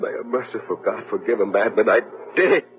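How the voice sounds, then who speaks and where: An actor playing a tormented man consumed by fear and madness, halting and repeating himself in an old-time radio horror drama. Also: on the low side, lively intonation, a little hiss or hum, lightly acted. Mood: anguished. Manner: halting, repeating words in dread. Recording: muffled old radio recording, music behind.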